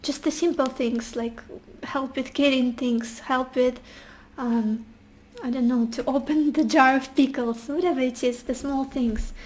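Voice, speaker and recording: A woman giving a casual pick-a-card tarot reading while losing her voice, speaking gently and reassuringly straight to the viewer. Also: high voice, fairly even intonation, unhurried, few pauses. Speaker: tarot reader.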